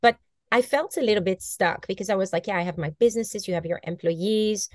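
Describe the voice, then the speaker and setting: A woman in her forties, a native Dutch speaker, telling her story with animated gestures over a video call from a bright room at home. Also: mid-range voice, fairly quick, no echo, clean studio sound. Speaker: guest, mindset coach. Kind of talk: casual video-call interview. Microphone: video call laptop mic.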